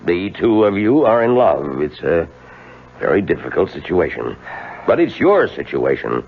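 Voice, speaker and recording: An actor playing an authoritative older law firm boss in a radio mystery, measured and persuasive with deliberate pauses. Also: male voice, low voice, sing-song, creaky, slightly rough, heavily acted. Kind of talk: 1970s radio mystery drama acting. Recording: thin, lo-fi, hissy old radio recording.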